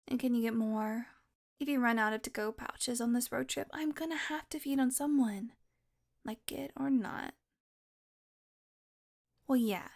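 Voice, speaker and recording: A sly female vampire, acted by a woman for an intimate audio roleplay. Her voice sits high and she talks in a sarcastic, flirtatious way, slipping into soft murmurs. She sounds hungry, cheeky and defiant. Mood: wry, defiant. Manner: flirtatious, sardonic, dropping into breathy murmurs.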